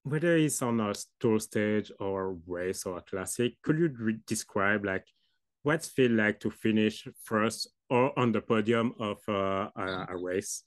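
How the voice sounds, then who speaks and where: Low-voiced young male student interviewer. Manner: halting, loosely worded questions. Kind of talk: interview questions.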